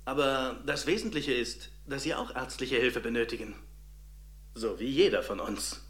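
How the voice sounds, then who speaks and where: A man's voice: medium pitch, moderate pace, a bit bright, natural voice. Actor as earnest doctor guy explaining, mid voice, echoey, audio drama interview. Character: earnest, compassionate male ghost therapist. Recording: echoey room.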